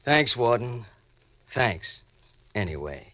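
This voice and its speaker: An American actor playing a hard-boiled, cocky ex-convict obsessed with money in an old-time radio crime drama, talking in tough slang. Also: male voice, mid-range voice, very animated, slow. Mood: smug, relaxed. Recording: dull vintage radio recording with music.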